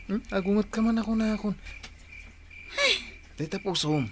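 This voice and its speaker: A man's voice: high-pitched, normal speed, a bit bright, a little hiss or hum. A man acting in an Ilocano radio drama as an idle husband who coaxes and pleads playfully. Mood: coaxing. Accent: Ilocano, northern Philippines. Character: idle, wheedling husband sweet-talking his hardworking wife.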